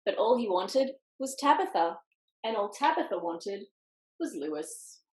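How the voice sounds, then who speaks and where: A woman reading a picture book aloud to children over a video call, expressively, with dramatic pauses and little asides. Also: mid-range voice, big pitch swings. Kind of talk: animated children's storytime reading with quiet asides. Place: indoors, online video call in an echoey room. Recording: roomy echo on video call.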